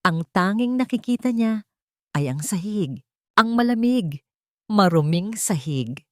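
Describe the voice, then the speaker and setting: A woman's voice: medium pitch, lively intonation, measured pace, no echo, clean studio sound. A woman storyteller reading a scripted, dramatic narration of a love story.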